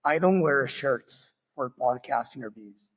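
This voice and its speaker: A man from Argentina with an Argentine Spanish accent, hosting a podcast interview in a friendly, halting way with small restarts; Spanish is his first language. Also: mid-range voice, expressive, breathy edge, in a large hall. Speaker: podcast host. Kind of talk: casual interview.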